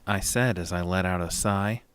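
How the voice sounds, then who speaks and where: A man narrating a scripted first-person horror story as a dramatic, measured storyteller. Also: low-pitched, fairly bright tone, smooth, no room sound.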